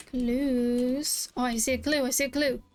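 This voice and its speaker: A woman's voice: high-pitched, level tone, unhurried. A woman streamer reacting playfully to a video game and reading on-screen text aloud.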